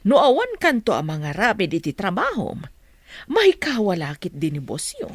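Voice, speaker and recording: A woman's voice: mid-range voice, huge pitch swings, normal speed, husky, no room sound, heavily acted. A woman playing a scheming, pushy mother in a radio drama, speaking with an Ilocano accent. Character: scheming, pushy mother coaching her grown daughter.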